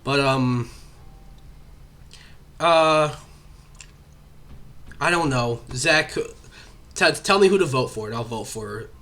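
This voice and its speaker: A man's voice: mid-range voice, animated, slow, long pauses, sharp tone. A young man hosting a casual podcast chat, rambling with lots of fillers and nursing a cough. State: has a cough. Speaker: podcast host.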